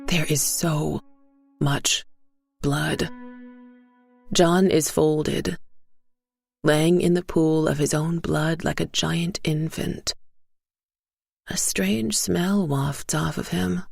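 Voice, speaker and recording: A woman narrates dark fiction in the first person. Her voice is fairly low and creaky, with grave, deliberate phrasing. Soft music sits underneath. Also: fairly even intonation, dry recording. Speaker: story narrator.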